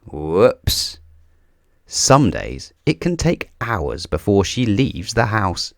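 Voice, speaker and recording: A man reading a children's storybook aloud in a theatrical, deliberate way, drawing out key words for emphasis. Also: fairly low pitch, very animated, creaky, no echo, clean studio sound. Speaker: children's book reader. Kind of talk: scripted storybook read-aloud.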